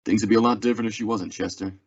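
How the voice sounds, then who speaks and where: A man's voice: on the low side, steady tone, rapid-fire, slightly rough. A young man acting a weary, plainspoken Old West marshal in a radio Western drama. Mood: grim narration. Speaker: actor in a school radio theatre production. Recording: dull lo-fi radio-play recording.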